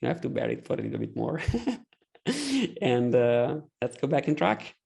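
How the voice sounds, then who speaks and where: Gravelly Italian-accented man, halting and joking. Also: mid-range voice, wildly swooping pitch, dark tone. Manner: joking, halting, many restarts. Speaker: podcast co-host. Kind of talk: casual video call chat.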